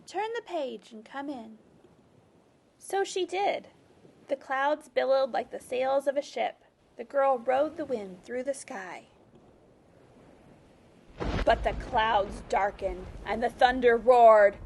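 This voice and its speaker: A woman reads a children's storybook aloud with expression. Her voice sits high, with a noticeable crackle of vocal fry. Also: takes it slowly, long pauses. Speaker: teacher reading a picture book aloud.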